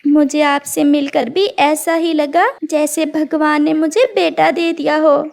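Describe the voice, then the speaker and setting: Lady doing a sad widowed mama bird for a cartoon, high voice, talks slow, very emotional. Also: vocal fry, exaggerated voice. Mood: tender. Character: tearful widowed mother bird, loving, ashamed, long-suffering. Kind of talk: Hindi cartoon character dialogue.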